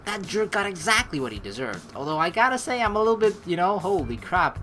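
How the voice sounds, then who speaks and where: A woman hosting a let's play in an excitable, reactive way, commenting casually and reading narration aloud. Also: on the low side, brisk, faint music behind.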